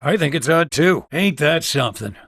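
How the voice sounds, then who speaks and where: An actor playing a wry, folksy small-town doctor in a video game, with a Southern US accent and an easygoing drawl. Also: male voice, on the high side, expressive, moderate pace, fairly bright tone, dry recording, clean studio sound. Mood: teasing, warm. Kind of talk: character dialogue in a video game.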